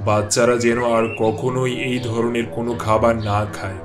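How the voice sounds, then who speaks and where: A man's voice: medium pitch, level tone, moderate pace, music in the background, natural voice. A man playing a concerned, authoritative doctor in a cartoon. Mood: serious.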